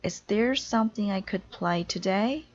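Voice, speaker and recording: A woman voicing an eager, innocent, literal-minded woman from a children's storybook, in a bright, exclamatory way. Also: medium pitch, normal speed, muffled recording, slight background noise, pitched above the performer's own voice, lightly acted.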